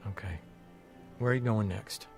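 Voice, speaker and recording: An actor playing a concerned newspaper editor and old friend in an audio drama, persuasive, placating and teasing. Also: male voice, on the low side, normal speed, vocal fry, soft background music. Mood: concerned, pushy. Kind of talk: naturalistic audio drama acting.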